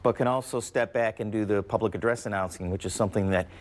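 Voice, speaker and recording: A man's voice: on the low side, quick pace. A man with an American accent, answering interview questions conversationally as a university athletics representative.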